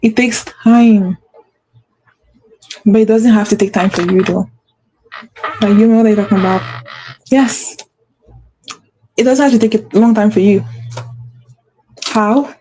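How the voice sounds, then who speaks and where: A woman's voice: mid-range voice, measured pace, long pauses, warm tone, a little hiss or hum, rough recording. A woman hosting a motivational talk, speaking with a stutter and repeating phrases for emphasis. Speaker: host.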